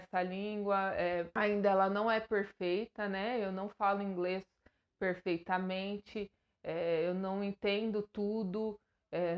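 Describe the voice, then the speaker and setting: Earnest Brazilian woman vlogging. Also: mid-range voice. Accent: Brazilian Portuguese. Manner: hesitant, many fillers and restarts; earnest, emotional. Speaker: YouTuber. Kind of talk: personal vlog to camera.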